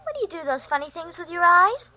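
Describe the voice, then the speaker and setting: A very high, bright little girl's voice from an old radio thriller. She is an innocent, curious schoolchild speaking in a clear period drama manner. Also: big pitch swings, fast talker, exaggerated voice. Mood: chirpy, curious. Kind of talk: 1940s radio drama acting. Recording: vintage 1940s radio recording.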